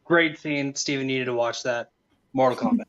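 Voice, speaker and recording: A man's voice: medium pitch, normal speed, fairly bright tone. A man chatting casually as a panelist, recorded with dull, lo-fi sound. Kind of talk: casual chat. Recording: dull, lo-fi voice audio.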